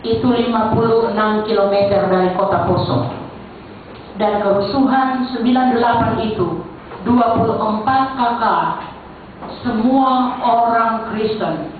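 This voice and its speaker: Sulawesi-accented church lady telling her story to the congregation, tinny lo-fi sound, faint hiss. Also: mid-range voice. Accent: Sulawesi Indonesian. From Central Sulawesi, Indonesia. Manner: conversational, addressing the congregation directly. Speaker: church council chair. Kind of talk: testimony to a congregation. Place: church hall. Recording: echoey church hall, distant mic, phone-like band, faint noise.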